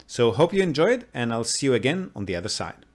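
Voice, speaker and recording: A man hosting a casual video call interview, chatty and somewhat halting, often repeating the starts of his words. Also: mid-range voice, big pitch swings, dry recording, clean studio sound. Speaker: host of a real estate show.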